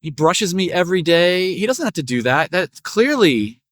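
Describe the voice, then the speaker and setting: Guy as a polite storybook horse, bit hesitant, apologetic, quietly proud, dry close sound. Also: high voice, big pitch swings, normal speed, dry recording, voice pushed up.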